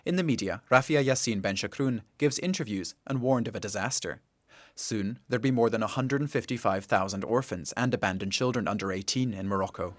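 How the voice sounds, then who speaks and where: Calm male radio feature narrator. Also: on the low side, fairly quick, no room sound, clean studio sound. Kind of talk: scripted documentary narration.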